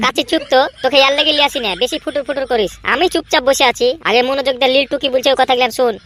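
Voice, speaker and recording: A woman's voice: high-pitched, steady tone, very fast, a bit bright. A woman voicing a needling young male sidekick in a comedy cartoon, scolding and full of questions.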